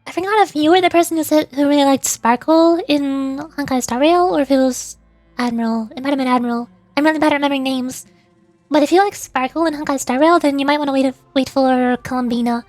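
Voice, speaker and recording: A woman's voice: sits high, fast talker, a little mellow, dry recording, soft background music. A woman streaming a game, chatting casually and rambling to her viewers in live commentary.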